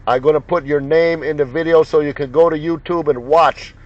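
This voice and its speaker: Male CB operator, slightly raised pitch, folksy slang and repeated call signs, dull lo-fi sound with radio noise. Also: steady tone, brisk. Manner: repetitive, jocular, folksy banter. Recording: muffled lo-fi sound with loud radio noise, clicks. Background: CB radio noise and clicks.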